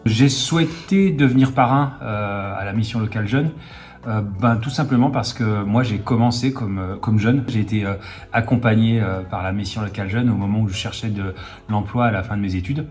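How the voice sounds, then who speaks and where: A man in his fifties giving an interview testimonial as a volunteer mentor, speaking in a halting way. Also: fairly low pitch, a little mellow. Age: 50s. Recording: music behind the voice. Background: music.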